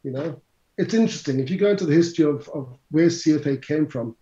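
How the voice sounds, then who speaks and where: A man's voice: fairly high pitch, fast talker, dark tone. A middle-aged South African man, a finance instructor, answering interview questions in a chatty, lecturing way with asides to the audience. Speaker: CFA instructor, guest. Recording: roomy, slightly dull sound.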